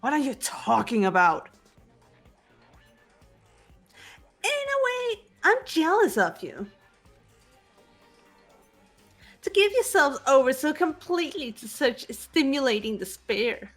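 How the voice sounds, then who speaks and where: Woman acting a high, theatrical young villainess. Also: sing-song, normal speed, few pauses, a bit bright, faint music behind. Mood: theatrical disdain. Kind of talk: fan dub of a visual novel game, dramatic acting.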